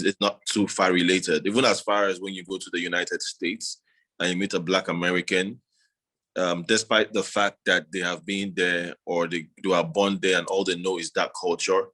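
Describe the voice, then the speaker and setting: A man being interviewed, talking in a measured, reflective way with many "you know"s. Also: low-pitched, bright, forceful tone. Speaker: guest. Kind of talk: casual interview.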